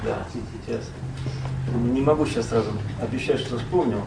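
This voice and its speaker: A man from Russia, a poet and translator, recalls his past as a guest in a relaxed, rambling conversation full of fillers and self-corrections. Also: mid-range voice, normal speed, gravelly, roomy sound, distant mic, over music, rough recording.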